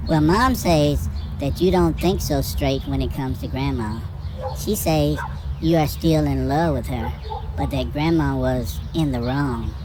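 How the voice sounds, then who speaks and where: A woman's voice: extremely low pitch, a little slow, background noise. A woman playing an inquisitive nine-year-old boy, earnest and questioning, in an amateur audio drama. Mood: curious. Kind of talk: amateur Bible-themed audio drama acting.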